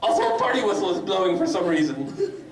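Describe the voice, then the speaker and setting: Man putting on a very high, bubbly party girl. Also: lively intonation, fast. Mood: bubbly, excited. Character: excitable, bubbly young party-planner girl pony. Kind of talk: live comic dramatic reading. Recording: echoey convention room, distant mic.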